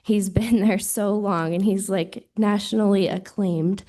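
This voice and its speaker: A woman's voice: medium pitch, fairly even intonation, a little slow, mellow. A woman who has lost her voice, reading earnest, proud public testimony as a parent at a hearing, into a desk microphone. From Wyoming. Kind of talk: prepared public comment.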